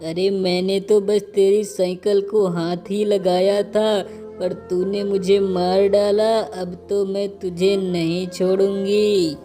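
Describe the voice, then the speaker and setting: Woman doing cartoon ghost witch girl, bitter n threatening, slow soft-edged voice, bit of music behind. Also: medium pitch, little pitch movement, lightly acted. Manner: aggrieved, threatening. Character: vengeful ghost witch of a poor murdered girl. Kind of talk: cartoon story voice acting.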